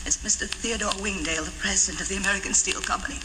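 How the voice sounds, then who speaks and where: A woman's voice: mid-range voice, steady tone, very fast, lightly acted. An actress in a 1950s radio drama playing a timid, devoted secretary, anxious and deferential, hesitant in her speech. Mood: nervous, polite. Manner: hesitant, pleading, polite. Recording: noisy, crackly 1950s radio recording.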